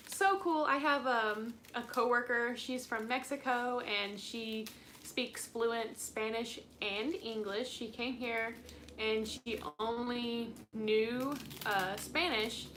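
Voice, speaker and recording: A woman's voice: on the high side, steady tone, slow, fairly bright tone, a little hiss or hum. A young woman hosting a casual live stream, chatting in a bubbly, rambling way with frequent giggles and lots of "like". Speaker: plant channel host.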